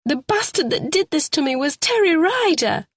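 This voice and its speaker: A tearful woman begs to be believed, her voice climbing high and wavering, as a woman acts her out. It comes across dry and close, read aloud as part of a storybook. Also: very animated, normal speed, no echo. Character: distraught battered woman, pleading and tearful.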